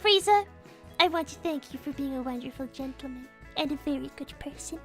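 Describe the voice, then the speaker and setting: A tiny cartoon girl creature with a squeaky-high voice and a hurried delivery. She is naive, earnest and easily alarmed, speaking in polite, formal phrases. Faint music plays underneath. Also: a bit bright. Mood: earnest, pleading. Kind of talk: improvised livestream character roleplay.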